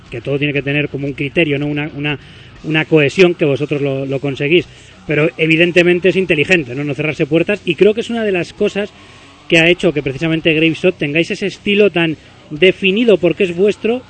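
Fast-talking man radio host. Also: a little high. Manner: talkative, long winding questions. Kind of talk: radio interview.

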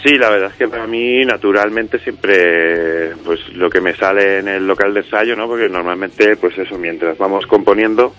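Low-voiced man musician, easygoing radio guest who rambles and restarts, slightly muffled sound with faint music under it. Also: moderate pace, few pauses, fairly bright tone. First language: Spanish. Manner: rambling, many fillers and restarts. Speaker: musician guest, singer and songwriter of a band. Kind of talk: casual radio interview.

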